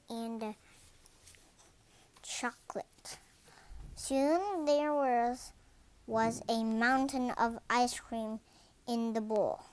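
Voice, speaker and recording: A young-sounding reader narrating a children's story aloud, deliberately and word by word. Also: medium pitch, clear, resonant tone.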